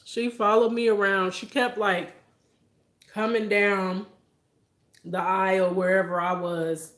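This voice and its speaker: A young woman, telling a story to her viewers in a casual, animated way. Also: takes it slowly, echoey room. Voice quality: deep voice for a woman. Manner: animated, laughing, talks straight to viewers. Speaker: vlogger.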